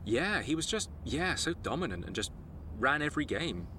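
A man's voice: on the high side, very animated, normal speed, sharp tone, a little hiss or hum. An actor playing a nervous, rambling young man on a football club's staff, stammering and apologetic. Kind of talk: audio drama acting.

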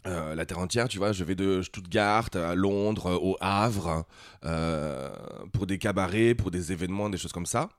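A man in his forties, a guest drag performer, telling travel stories in a playful, animated way in a casual chat. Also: sits low, a little slow, no echo, studio quality. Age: 40s. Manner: animated storyteller, playful, putting on voices when quoting himself.

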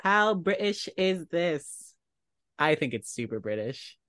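A man's voice: fairly high pitch, big pitch swings, takes it slowly, pushed and bright, no echo, studio quality. A man co-hosting a podcast in casual banter, with a playfully emphatic way of talking. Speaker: podcast co-host.

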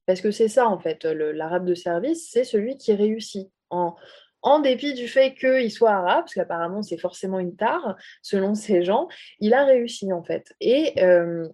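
A woman's voice: mid-range voice, lively intonation, normal speed, dark tone. A woman from France in a political discussion, making her points emphatically and in order.

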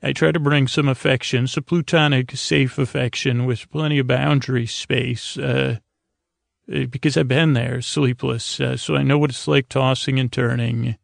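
A man hosting a bedtime podcast in a casual, meandering monologue, halting and self-deprecating, often trailing off mid-thought, with a gravelly voice. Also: mid-range voice, fairly even intonation, dry recording, clean studio sound. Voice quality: gravelly, creaky. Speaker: podcast host.

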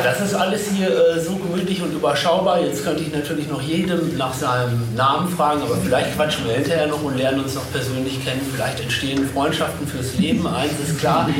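A man hosting a live panel, introducing guests informally and often searching for words. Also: on the high side. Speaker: panel host. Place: convention panel stage. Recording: roomy, distant, noisy live event recording.

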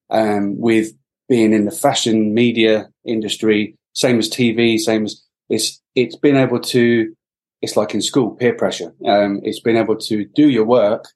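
Hesitant, low-voiced man from Wales. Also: darkish tone. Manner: hesitant, many fillers and restarts. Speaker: podcast guest. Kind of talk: reflective interview talk.

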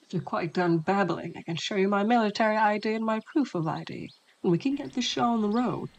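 A man's voice: high-pitched, brisk, lo-fi sound. A man playing a haughty, impatient military escort in an audio drama.

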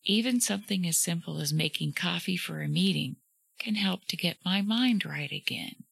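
A woman hosting a reflective solo podcast, talking gently with a soothing voice and frequent fillers. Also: fairly low pitch, moderate pace, creaky, slightly rough, no echo. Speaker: podcast host.

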